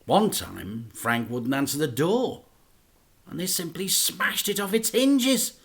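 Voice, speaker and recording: Slow, expressive man reading a story. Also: on the high side. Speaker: teacher reading to children. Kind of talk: reading a children's book aloud.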